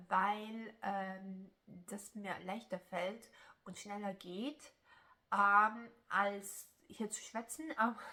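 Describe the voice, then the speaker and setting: Chatty Swiss German woman, bright voice. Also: mid-range voice. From German-speaking Switzerland. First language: Italian. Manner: chatty, rambling, many filler sounds and restarts. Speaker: crochet YouTuber. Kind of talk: casual vlog chat.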